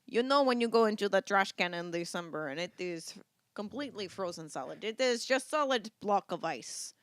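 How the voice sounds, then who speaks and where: A woman putting on a blunt, deadpan character with a mock Russian accent. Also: medium pitch, moderate pace, clear, resonant tone, dry recording, lightly acted.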